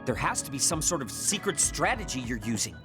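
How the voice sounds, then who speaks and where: Anime game role: male actor as proud, insecure young soldier, brusque and intense, widely swinging pitch, music behind. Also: sing-song, fairly quick, lightly acted. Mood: frustrated, despairing. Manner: brusque, intense, self-critical. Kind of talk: English anime game dub acting.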